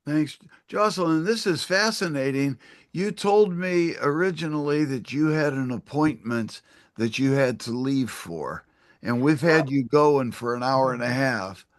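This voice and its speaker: A man's voice: medium pitch, measured pace, no room sound, studio quality. A man hosting and moderating an online Q&A on a video call, polite and appreciative as he thanks the speaker. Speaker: host and moderator.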